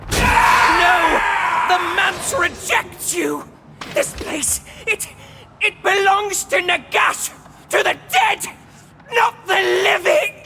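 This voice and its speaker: An actress playing a haughty, furious undead spectre in a video game cutscene, venomous and declamatory. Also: female voice, very high-pitched, slow pace, vocal fry, shouting, noisy background, exaggerated voice. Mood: enraged.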